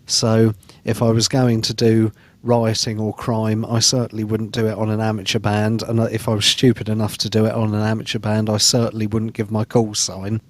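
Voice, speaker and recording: A man on a podcast panel, giving measured commentary in formal, precise wording. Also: on the low side, completely flat delivery, no echo.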